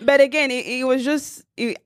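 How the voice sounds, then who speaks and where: A woman's voice: high voice, fairly even intonation, moderate pace, a crowd in the background. A woman from Cameroon speaking as a panelist in a discussion, earnest and encouraging, laughing easily.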